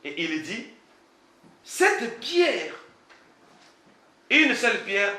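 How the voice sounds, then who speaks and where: A male pastor preaching in a roomy, echoing space with a faint hiss underneath. His voice is bright and forceful, swinging widely in pitch as he builds emphatic rhetorical questions. He speaks French as a second language. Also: very animated, very slow. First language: not French. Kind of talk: sermon.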